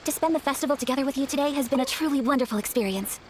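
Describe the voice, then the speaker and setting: A woman voicing a gracious young noblewoman in a video game, speaking politely and gently with formal, earnest phrasing. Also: high voice, very fast, a little hiss or hum, lightly acted. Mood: tender, earnest.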